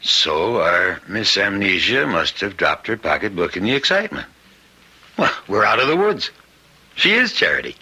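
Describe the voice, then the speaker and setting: A pragmatic hospital doctor in an old-time radio play. His low voice is husky and very sharp, with a brisk, businesslike way of talking. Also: sing-song, exaggerated voice. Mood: thoughtful, relieved. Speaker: radio drama actor.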